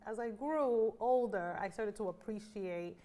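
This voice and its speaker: A woman's voice: mid-range voice, measured pace, warm tone. A woman in her thirties from the Dominican Republic, a life coach answering interview questions with enthusiasm and reflection, with some "um" and "like" along the way. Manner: enthusiastic, reflective, frequent fillers.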